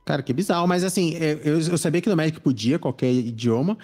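Man, podcast co-host, rapid delivery, excitable and slangy, frequent swearing. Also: on the high side, soft background music. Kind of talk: casual podcast chat.

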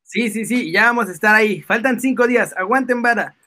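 Male stream co-host, Mexican accent. High-pitched, forceful delivery, playful and excitable, casual chat. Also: brisk. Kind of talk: casual live-stream banter.

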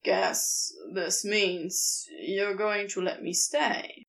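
A woman's voice: mid-range voice, very animated, extremely slow, fairly bright tone, recorded from a distance, pitched below the performer's own voice, heavily acted. A woman reading the lines of a guarded, dry-tongued adult man in a storybook reading. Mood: wary.

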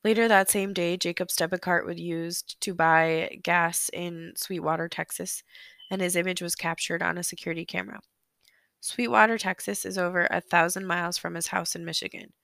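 A sick, hoarse woman hosting a solo true-crime podcast, chatty and apologetic, filling pauses with ums. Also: fairly low pitch, steady tone, a bit bright, no room sound. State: sick, hoarse, losing her voice. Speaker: podcast host. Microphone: close podcast microphone.

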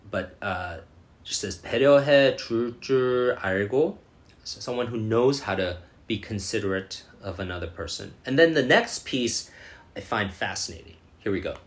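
Man, learner vlogger; casual, hesitant delivery, sounds out new words. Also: on the low side. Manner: halting, lots of fillers, sounding out foreign words slowly. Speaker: language learner reacting to a show. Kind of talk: casual commentary.

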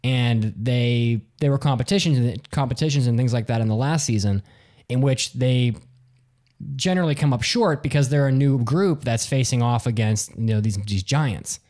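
A man with a deeper voice co-hosting a casual podcast, rambling and restarting his sentences. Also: moderate pace, darkish tone. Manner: rambling, self-interrupting, restarts. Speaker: podcast co-host.

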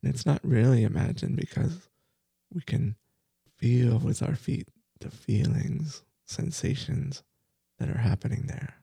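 Male meditation teacher, very slow and soothing, dark husky tone, guides a bedtime body scan. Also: mid-range voice, dry recording. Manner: slow, soft, soothing guidance with repeated phrases.

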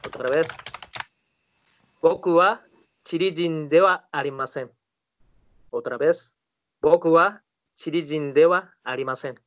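A man's voice: on the high side, slow pace, long pauses, muffled recording. Hesitant man speaking Spanish. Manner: hesitant, answers with fillers and repeats phrases. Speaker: native-speaker guest in class.

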